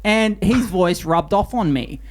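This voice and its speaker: A man co-hosting a comedy podcast, making absurd claims in a deadpan way. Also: high voice, measured pace. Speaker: podcast co-host. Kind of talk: casual comedy podcast banter. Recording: faint background noise.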